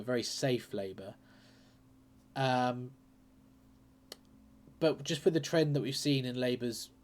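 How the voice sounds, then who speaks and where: Croaky-voiced man chatting through election data. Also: mid-range voice. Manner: chatty, hesitant, lots of ums and uhs. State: croaky with a sore throat and cold. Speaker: political commentary channel host.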